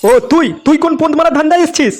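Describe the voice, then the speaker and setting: A man's voice: very high voice, fairly even intonation, racing, soft background music. A man voicing a foul-mouthed, irritable cartoon father who scolds. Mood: angry, mocking. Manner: cursing, scolding, exasperated. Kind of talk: comic cartoon dubbing.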